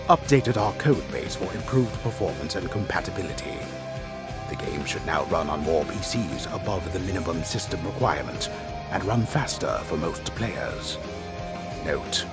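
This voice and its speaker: A man playing a disembodied game storyteller, reading a script with grave, deliberate, theatrical delivery. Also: low voice. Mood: measured, formal. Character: disembodied storyteller, grave, measured, solemn male narrator. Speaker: storyteller narrator.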